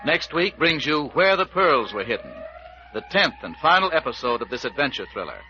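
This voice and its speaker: A man's voice: fairly high pitch, big pitch swings, brisk, pushed and bright. A man announcing an old radio serial in a dramatic, scripted, declamatory style. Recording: dull, faintly noisy old radio recording.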